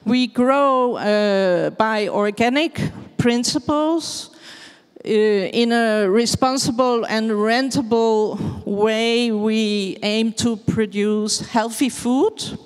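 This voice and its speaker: A woman from the Netherlands with a Dutch accent, giving a slow, hesitant presentation in English with many fillers. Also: fairly high pitch, smooth. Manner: hesitant, searching for words in English. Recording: long hall reverb.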